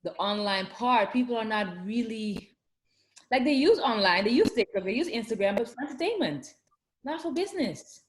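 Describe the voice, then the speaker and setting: A woman from the Netherlands with a Dutch accent, hosting a podcast in a chatty, animated way with many fillers and frequent laughs. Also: a little high, moderate pace. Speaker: podcast host. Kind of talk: casual conversational chat. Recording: echoey room.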